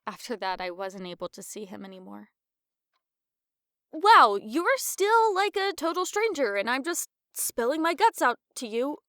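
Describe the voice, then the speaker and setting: A woman's voice: sits high, big pitch swings, measured pace, bright, forceful tone, smooth, dry recording, clean studio sound, heavily acted. A woman voicing a young female adventurer in a fantasy audio drama, with a casual, blunt, sarcastic manner. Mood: confiding.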